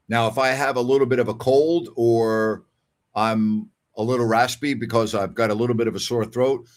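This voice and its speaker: An older man hosting a casual sports call-in show, talking in a conversational, emphatic way and repeating phrases for emphasis. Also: medium pitch. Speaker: sports talk show host. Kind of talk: casual call-in show chat reading listener messages.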